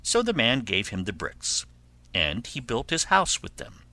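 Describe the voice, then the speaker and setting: Slow, animated male storyteller, bright voice. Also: medium pitch, no room sound. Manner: measured, expressive storytelling. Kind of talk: scripted children's fairy tale narration.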